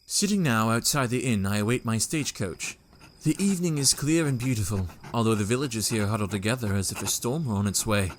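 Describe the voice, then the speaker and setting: An actor playing a polite, proper young Victorian gentleman who speaks in a formal, courteous way. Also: on the low side, brisk, a little hiss or hum, natural voice. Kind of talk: audio drama acting and journal narration.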